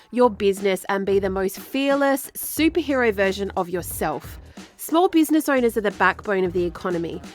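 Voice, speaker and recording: An upbeat, chatty Australian woman in her thirties from South Australia with a blocked nose, hosting a solo podcast and wandering into friendly digressions. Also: medium pitch. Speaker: podcast host. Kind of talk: solo marketing podcast talk.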